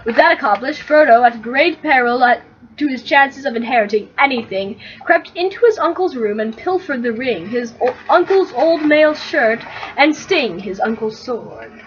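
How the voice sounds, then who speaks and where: A woman reading a comic story aloud as its narrator in an animated storytelling style. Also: fairly high pitch, normal speed, a little hiss or hum. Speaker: reader of comic fan fiction. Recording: slightly dull, lo-fi.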